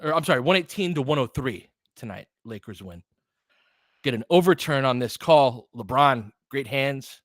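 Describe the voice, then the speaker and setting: A man's voice: medium pitch, expressive, moderate pace, no room sound. A man with a mid-range voice hosts a live basketball stream. He calls plays in an informal, conversational way and addresses his viewers directly. The sound is close and dry, with very little room in it. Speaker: livestream host and play-by-play commentator. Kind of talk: casual live basketball commentary mixed with chat to viewers.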